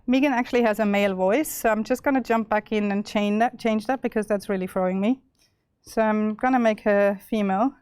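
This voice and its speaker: A young woman with an Austrian accent presenting a live software demo, chatty and full of fillers and restarts. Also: medium pitch, steady tone, a little fast, smooth, dry recording, clean studio sound. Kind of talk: live tech demo walkthrough.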